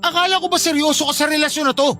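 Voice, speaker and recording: A man's voice: very high voice, fairly even intonation, rapid-fire, pushed and bright, soft background music. A man with a Filipino accent acting as a hurt, insistent young boyfriend in a radio drama, earnest and pleading. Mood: pleading, wounded. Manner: earnest, pleading, emotionally pressing.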